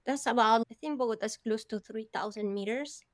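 A woman's voice: on the high side, brisk, dry recording, studio quality. A woman from Colombia, a guest vividly telling her own life story in an interview, with gasps and laughs along the way.

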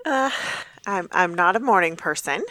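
A woman's voice: lowish voice, animated, moderate pace, bright, forceful tone, dry recording. A woman player talking in a laid-back, easygoing way about her character's morning.

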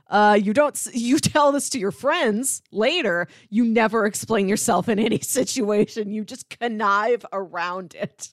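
A woman's voice: mid-range voice, dry recording, clean studio sound. A woman speaking as a podcast co-host in a casual, conversational discussion. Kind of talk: casual chat discussing sitcoms.

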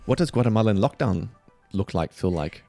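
Lively male podcast host. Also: fairly low pitch, brisk, no room sound, soft background music. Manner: lively, laughs often, reads sponsor spots. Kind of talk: conversational podcast interview.

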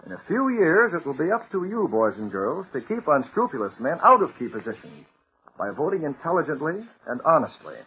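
A man's voice: on the high side, very animated, fairly quick, bright, forceful tone. A man reading a scripted old-time radio announcement in an earnest, exhorting manner to young listeners. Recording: noisy old radio recording.

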